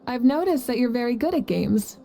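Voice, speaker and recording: A woman's voice: fairly high pitch, animated, a little fast, gravelly, warm tone. A woman playing a confident, playful female warrior leader in a video game, speaking in an assured, teasing and encouraging manner. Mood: warm praise.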